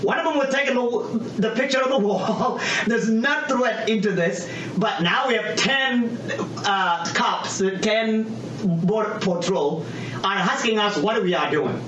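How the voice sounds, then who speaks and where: Halting man, far and echoey. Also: high-pitched, fairly bright tone, lo-fi sound. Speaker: guest. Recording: distant, echoey with clear background noise.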